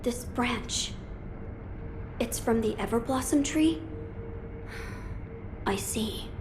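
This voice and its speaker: A woman voicing a gentle, earnest young boy lord in a game cutscene, formal and pensive in manner. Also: on the high side, takes it slowly, background noise. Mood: pensive, curious. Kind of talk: dramatic game cutscene acting.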